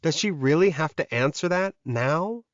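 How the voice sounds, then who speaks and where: A man's voice: on the high side, animated, moderate pace, no room sound, highs cut off. A man narrating a scripted, dramatic fantasy romance audiobook.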